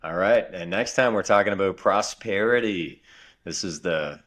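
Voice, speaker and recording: Man, very low voice, podcast co-host, rambling casual chat. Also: normal speed. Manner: relaxed, rambling with restarts.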